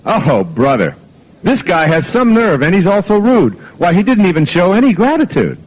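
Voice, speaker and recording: Man voicing an exasperated woman, pitched way up. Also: huge pitch swings, moderate pace, heavily acted, rough recording. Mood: indignant. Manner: exasperated, emphatic rhyming delivery. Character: indignant, irritated woman traveler in a comic rhyming poem.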